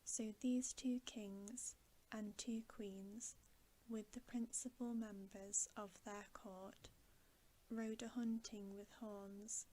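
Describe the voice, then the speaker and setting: Hushed, slow-reading woman, ASMR bedtime story. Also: mid-range voice, a little hiss or hum, poor audio. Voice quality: soft, breathy, often dropping to a whisper. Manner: hushed, unhurried, gentle. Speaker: ASMR reader.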